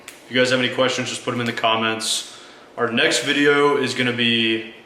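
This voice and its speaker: A man's voice: mid-range voice, bright, forceful tone. Guy talking thru a car install in his garage, regular mid voice, laid back and chatty, bit of hiss behind. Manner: easygoing, chatty, plain-spoken explaining. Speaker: host of a car build channel. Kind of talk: casual how-to vlog. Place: garage, inside a vehicle.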